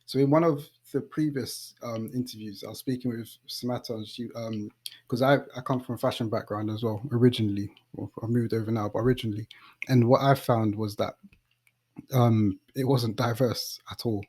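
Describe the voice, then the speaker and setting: Casting: male podcast host, London accent, soft mellow tone, informal and chatty delivery. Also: mid-range voice, steady tone, fairly quick, husky. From East London, England. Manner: informal, conversational, uses slang. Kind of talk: casual interview chat and podcast introduction.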